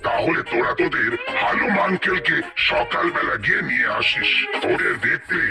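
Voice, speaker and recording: A man playing a kindly older gentleman who talks to children in a cartoon. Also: on the high side, lively intonation, normal speed, gravelly, recorded from a distance, over music. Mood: avuncular. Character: kindly older male boss figure addressing children. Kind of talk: cartoon voice acting.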